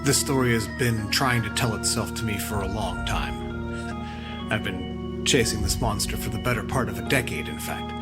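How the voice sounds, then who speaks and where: A man reading a scripted podcast outro, addressing listeners in a measured, sincere way. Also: lowish voice, over loud music. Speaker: podcast creator reading credits.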